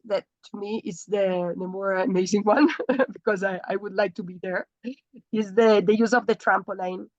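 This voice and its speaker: A woman's voice: medium pitch, a little mellow, dry recording, dull audio. A woman with an Italian accent presents her architecture thesis on a video call, hesitating often. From Italy. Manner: hesitant, many fillers and restarts. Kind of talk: online thesis presentation, halting.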